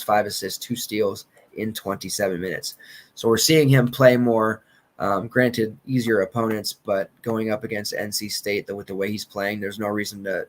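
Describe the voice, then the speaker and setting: A man in his thirties, losing his voice and clearing his throat, rambling casually through sports betting picks. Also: fairly low pitch. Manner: loose, rambling, confident picks with filler and restarts. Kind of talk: casual solo podcast commentary.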